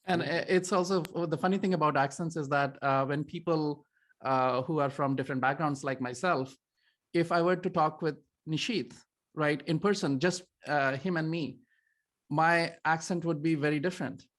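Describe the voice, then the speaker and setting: A man's voice: fairly high pitch, fairly even intonation, normal speed, fairly bright tone. A man from India co-hosting a casual video call, explaining things with many "uh"s and "right?"s.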